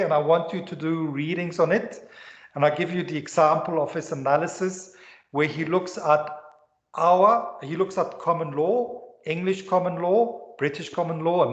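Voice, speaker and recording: A man with a Swiss accent giving a university economics lecture, rambling and restarting his sentences often. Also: fairly high pitch. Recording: echoey lecture room, dull lo-fi sound.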